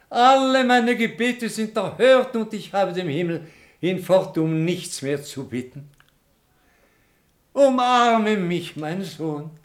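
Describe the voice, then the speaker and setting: A man playing a pious, tender elderly father in a German radio play, declaiming with emotion. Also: on the high side.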